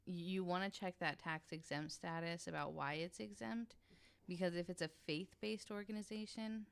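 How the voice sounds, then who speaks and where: A woman's voice: on the low side, fairly even intonation, moderate pace, creaky, smooth. A woman explaining things casually on a podcast, informal and frank in her delivery. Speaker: podcast panelist.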